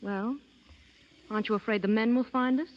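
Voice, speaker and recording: An actress playing an indignant, sharp-tongued young woman with a sceptical edge, in 1930s radio drama style. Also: on the high side, steady tone, normal speed, lightly acted. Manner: tart, sceptical. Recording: muffled 1930s radio broadcast.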